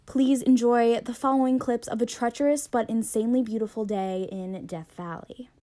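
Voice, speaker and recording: A woman's voice: on the high side, steady tone, normal speed, warm tone. A young woman narrating her travel vlog in a wry, self-deprecating voice-over. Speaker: travel vlogger.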